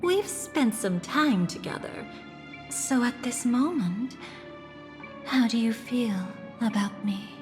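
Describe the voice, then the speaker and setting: Poised mysterious game guide lady, really unhurried, gentle and coy, long reverb on it. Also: fairly high pitch, expressive. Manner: measured, intimate, enigmatic. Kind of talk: dramatic video game voice acting. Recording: long reverb, faint music behind. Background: soft background music.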